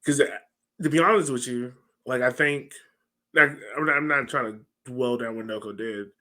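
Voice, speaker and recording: A man's voice: mid-range voice, normal speed, pushed and bright. An American man hosting a sports podcast, chatting casually on a headset over a video call. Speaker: podcast host. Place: small home room, indoors.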